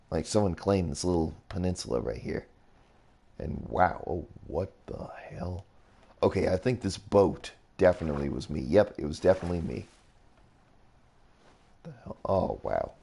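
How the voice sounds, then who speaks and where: A young man casually rambling through a game tour as a streamer, his voice a little raspy, often reacting with surprise. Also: deep voice, a little mellow. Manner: rambling, easily impressed, mild swearing. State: slightly raspy voice. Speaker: gaming streamer. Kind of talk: casual game commentary while touring builds.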